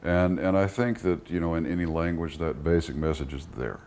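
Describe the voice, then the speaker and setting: Very deep-voiced, hesitant man. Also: darkish tone. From United States, Texas. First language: English. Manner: hesitant, thoughtful, with searching pauses and repeats. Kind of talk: reflective interview talk. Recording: audible background noise.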